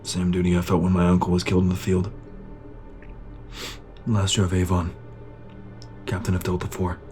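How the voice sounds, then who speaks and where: Brooding soldier, played by a man, low voice, terse, war-weary sergeant for sci-fi audio drama. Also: monotone, moderate pace, a little mellow, faint music behind, close to an everyday voice. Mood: subdued, reflective. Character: bitter, battle-worn soldier, sergeant of shock troops.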